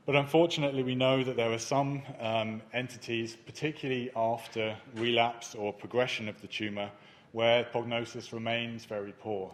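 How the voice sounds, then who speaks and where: A man presenting his research at a conference, speaking in a measured way with frequent ums and uhs. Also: medium pitch, slight background noise. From not Germany. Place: conference hall.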